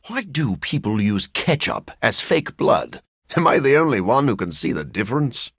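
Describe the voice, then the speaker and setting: Brooding brainy male vampire for a video game, husky and gravelly, dry formal wording, pitch swings around a lot. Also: no room sound, heavily acted. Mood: pointed, intense. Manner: formal, articulate, dry and deliberate. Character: brooding, intellectual adult male vampire scientist, dryly witty. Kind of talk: video game character dialogue.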